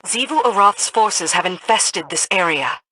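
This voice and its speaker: A woman voicing a dutiful military lieutenant to a queen in a video game, crisp and businesslike. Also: mid-range voice, lively intonation, measured pace, bright, forceful tone, slight background noise, low-quality recording. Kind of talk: video game mission dialogue.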